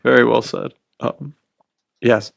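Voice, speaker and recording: A man with a creaky, fry-laden voice hosts a casual podcast. He is effusive and informal, full of slang, and recorded close and dry. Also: mid-range voice, steady tone, takes it slowly, no echo. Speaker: podcast host.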